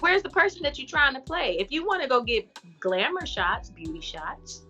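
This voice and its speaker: Guest actress, 30s woman, deep yet bright, frank and lively, faint music under. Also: normal speed. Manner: animated, frank, playful asides. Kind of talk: casual interview chat.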